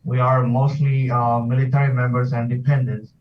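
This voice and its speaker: A man's voice: medium pitch, level tone, normal speed, far from the mic, dull audio, slight background noise. A man from South America who is president of a heritage group, speaking steadily with many hesitations in a remote interview. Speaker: association president, military sergeant.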